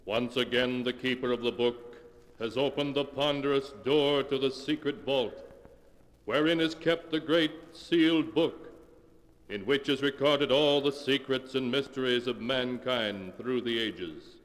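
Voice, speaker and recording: Slow spooky narrator guy from an old radio show, long echo. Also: medium pitch. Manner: slow, ominous and theatrical. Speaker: narrator, keeper of the book. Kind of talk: 1940s radio drama narration.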